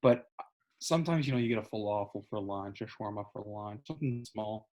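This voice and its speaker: A young man, co-hosting a casual podcast chat, swearing often and excitably talking over his co-host. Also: lowish voice, brisk.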